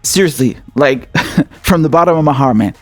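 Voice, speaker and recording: Brief: man from Syria, channel host, earnest and grateful, casual slang, slightly raised pitch, dry close sound. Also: animated, moderate pace, no room sound, faint music behind. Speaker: independent political YouTube host. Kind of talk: casual thank-you talk to viewers.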